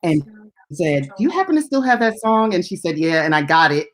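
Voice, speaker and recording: Female radio host with a lowish voice; relaxed conversational co-host for unscripted music talk. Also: fairly quick. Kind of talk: casual, unscripted chat.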